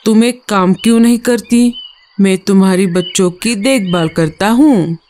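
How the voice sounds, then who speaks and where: महिला की आवाज़ में धीमी चाल वाला चालाक बूढ़ा कौवा. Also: female voice, mid-range voice, measured pace, darkish tone, slight background noise, lightly acted. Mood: sly, friendly. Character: scheming, heartless old male crow. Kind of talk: Hindi animal cartoon story.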